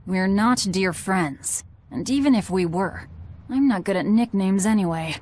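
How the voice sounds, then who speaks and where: A woman playing a cold, guarded young fighter in an anime game dub, blunt and deadpan. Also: mid-range voice, unhurried, noisy background, close to an everyday voice. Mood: guarded, dismissive.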